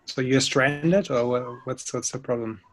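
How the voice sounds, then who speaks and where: A man's voice: mid-range voice, unhurried. Guy on a video call, easygoing small talk before teaching. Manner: relaxed, friendly small talk. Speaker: guest instructor. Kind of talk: casual video-call chat.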